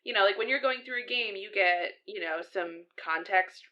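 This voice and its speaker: Bright-voiced woman, muffled recording. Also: medium pitch, moderate pace. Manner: thoughtful, explanatory, hedging with "like". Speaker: podcast co-host. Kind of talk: casual chat.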